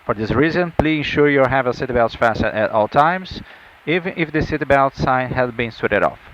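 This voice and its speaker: A man's voice: mid-range voice, expressive, moderate pace, noisy background. A man speaking as the airline captain gives a formal, courteous announcement from the flight deck over the PA. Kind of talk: pilot welcome announcement. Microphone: flight deck PA heard through cabin speakers. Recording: muffled aircraft PA.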